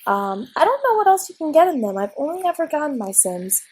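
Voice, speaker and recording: A woman's voice: high voice, sing-song, brisk, darkish tone. A young woman getting over a cold, sniffly, rambling casually with many ums as she narrates her gameplay at home. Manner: rambling, chatty, frequent ums and restarts. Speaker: let's play gamer. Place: at home at a desk.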